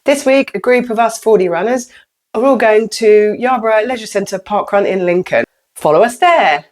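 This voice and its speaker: A woman from England talking casually to her camera, her voice a little croaky from a cold. She chats in a relaxed way with plenty of fillers and small laughs, at an everyday middle pitch. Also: normal speed. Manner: chatty, with fillers and light laughs. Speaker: vlogger. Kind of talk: casual vlog.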